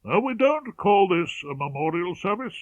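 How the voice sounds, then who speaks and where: Narrow tinny sound, man as genial clergyman, high pitch, unctuous, ceremonious, audiobook character. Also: sing-song, normal speed, no room sound. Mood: effusive. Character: genial, effusive male English clergyman.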